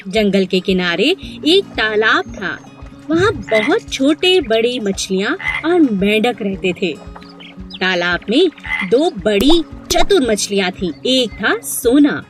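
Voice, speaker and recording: A woman narrating scripted moral stories for children. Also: a little high. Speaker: storyteller.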